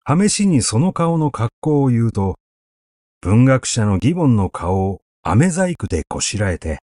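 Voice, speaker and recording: A man reading a classic literary essay aloud as narrator, with measured, expressive storytelling delivery. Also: mid-range voice, dry recording, studio quality. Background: background music.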